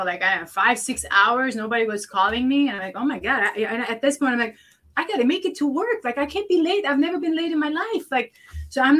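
A woman's voice: on the high side, fairly bright tone. A woman from Lebanon hosting a casual interview, giving frequent short affirmations and light laughs as she talks. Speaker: podcast host.

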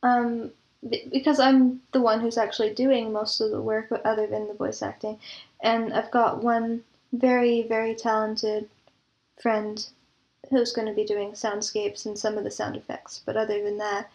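Higher-pitched woman explaining in an interview. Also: level tone, normal speed, darkish tone, dull audio. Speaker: interview guest, audio drama producer and composer. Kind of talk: conversational interview talk.

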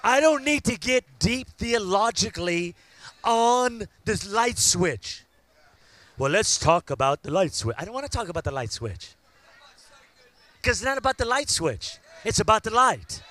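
High bright-voiced preaching man in his fifties. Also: very animated, a little slow. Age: 50s. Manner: preaching with rising shouts and call-outs to the crowd. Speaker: pastor. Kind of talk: sermon.

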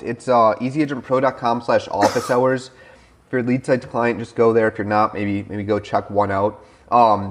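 Husky-voiced man chatting on a podcast. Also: medium pitch. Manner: fast, filler-heavy, laughing easily. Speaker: co-host. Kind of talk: casual podcast chat. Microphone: remote call mic.

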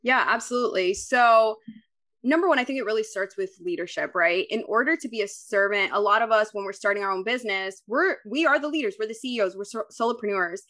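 A woman from the Dominican Republic, a guest on a podcast, talking in an energetic, upbeat way and laughing often. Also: mid-range voice, quick pace. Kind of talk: casual podcast interview.

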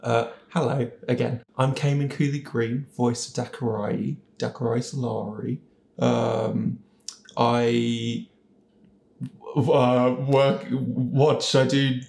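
Gravelly man in casual chat. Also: medium pitch, level tone, slow, darkish tone. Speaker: voice actor guest. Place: living room. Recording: roomy living-room sound.

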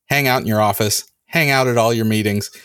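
A man hosting a casual conversation, telling animated stories and acting out the lines people said. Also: fairly low pitch, fairly quick, clear, resonant tone. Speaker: podcast host.

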